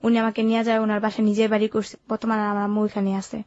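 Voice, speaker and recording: A woman's voice: mid-range voice, completely flat delivery, racing, darkish tone, no echo, muffled recording. A seventeen-year-old woman with a colloquial Bangladeshi Bengali accent, telling her life story informally and matter-of-factly. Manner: matter-of-fact, unsentimental telling. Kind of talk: informal first-person storytelling.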